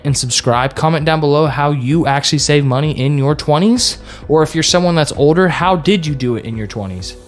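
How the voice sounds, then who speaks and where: Young male vlogger, slightly high voice, earnest lecturing tone, soft music bed. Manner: earnest and repetitive, lecturing viewers directly. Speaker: finance vlogger. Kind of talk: casual advice vlog talking to camera.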